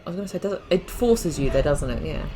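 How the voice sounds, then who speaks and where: A woman's voice: deep voice, lively intonation, fast talker, noisy background. Chatty woman commenting on a game. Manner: chatty, reflective, often laughing at own mistakes. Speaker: gamer hosting a playthrough. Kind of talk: casual gameplay commentary, thinking aloud.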